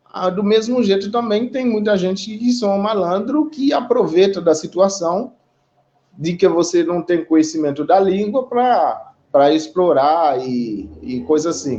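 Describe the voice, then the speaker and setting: A man with a Haitian accent talks unhurriedly over a video call, pausing often and checking in with tag questions. His voice sits fairly high, and the stream audio is dull and lo-fi. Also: a little mellow. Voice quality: measured, reflective, with frequent pauses and tag questions. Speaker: guest representing an immigrant community. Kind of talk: conversational testimony in a live online discussion.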